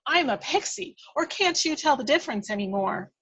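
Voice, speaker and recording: A woman's voice: sits high, lively intonation, normal speed, a bit bright, voice pushed up. A woman playing a tiny, feisty, bossy fairy with a scornful, theatrical delivery in a script read-through. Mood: sassy. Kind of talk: hobby table read acting.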